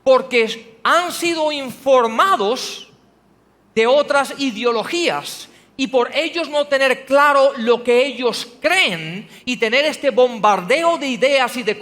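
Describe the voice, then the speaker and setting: A man's voice: very high voice, fairly bright tone. A male pastor preaching a sermon in a church, pressing the congregation with rhetorical questions and rising to emphatic passages. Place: church hall. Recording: long hall reverb.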